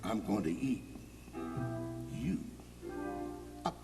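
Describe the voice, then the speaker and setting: Man as storybook bully bear, deep drop in pitch, slow drawl, boastful, mock-polite, orchestra behind. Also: very animated, gravelly, loud music behind the voice, full character voice, low-quality recording. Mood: boastful menace.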